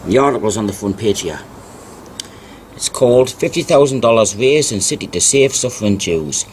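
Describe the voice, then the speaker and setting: Low-voiced man reading aloud, background noise. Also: expressive, a little mellow. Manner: deliberate, repeats key phrases. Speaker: video narrator. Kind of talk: reading old newspaper articles aloud with plain commentary.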